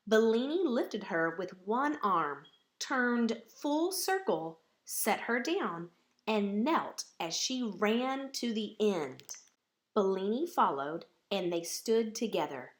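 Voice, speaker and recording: A woman reading a children's picture book aloud as a storyteller, with measured, deliberate and dramatic phrasing. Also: on the high side, big pitch swings.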